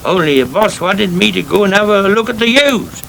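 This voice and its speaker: An actor playing a loyal, plaintive old farmhand with a West Country accent in a British radio soap. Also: male voice, high voice, lively intonation, moderate pace, creaky, fairly bright tone, a little hiss or hum, full character voice, poor audio. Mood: mournful. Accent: English West Country. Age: older. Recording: 1980s radio drama, crowd murmur behind.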